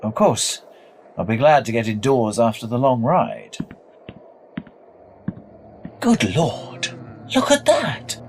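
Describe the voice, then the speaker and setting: An apprehensive gentleman traveller, speaking slowly with wide swings of pitch. He is polite but halting and exclamatory, in period radio drama style. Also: very animated, a little hiss or hum. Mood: flustered, polite. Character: uneasy gentleman traveller, polite, easily unnerved.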